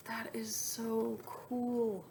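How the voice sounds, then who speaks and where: A woman teacher reading a picture book aloud to young students, expressive, moving between emphatic reading and soft, wondering asides. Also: fairly high pitch, very slow, creaky, slight background noise. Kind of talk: children's read-aloud with asides and questions to students.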